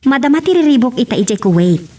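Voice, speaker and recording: A woman acts out a gentle wife explaining things to her husband in an amateur audio drama. Her voice is fairly high and breathy-husky. She sounds a little way off the microphone. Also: very animated, fast talker, distant mic. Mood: careful. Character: wife abroad gently breaking news to husband.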